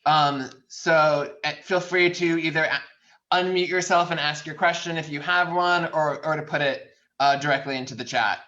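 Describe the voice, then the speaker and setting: A man moderates an online meeting through dull, lo-fi call audio. His voice is fairly high and very bright. He opens the floor informally, in a slightly stop-and-start way. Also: steady tone, moderate pace. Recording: dull, lo-fi video-call sound.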